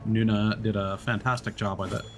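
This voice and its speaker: A man's voice: fairly low pitch, little pitch movement, brisk, music in the background. A man streaming a game, chatting casually and explaining as he plays, with lots of fillers and restarts. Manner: rambling, many fillers and restarts. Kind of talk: casual gameplay commentary.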